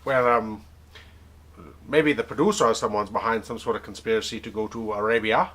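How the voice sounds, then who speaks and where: A man's voice: lowish voice, steady tone, fairly quick, fairly bright tone. A man hosting a comedic webcam interview in a put-on accent, mock-formal and rambling, often questioning himself.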